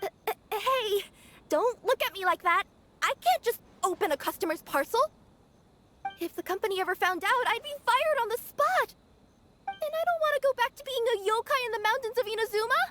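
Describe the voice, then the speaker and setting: A woman's voice: extremely high pitch, expressive, a little fast, pushed and bright, a little hiss or hum, exaggerated voice. A woman voicing a feisty young female yokai courier in a video game, proud, dutiful and emphatic. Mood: flustered, indignant. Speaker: parcel courier. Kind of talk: animated video game voice acting.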